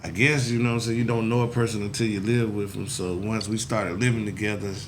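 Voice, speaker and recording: A man's voice: on the low side, flat delivery, quick pace, background noise. A man in his forties hosting a casual talk show, rambling and laid-back, with "you know what I'm saying" as a frequent filler. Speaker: podcast host. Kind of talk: casual chat.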